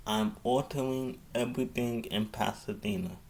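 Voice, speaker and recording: A man giving an improvised public address as a mayor, halting and often repeating and restarting his phrases. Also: mid-range voice, level tone, a little hiss or hum.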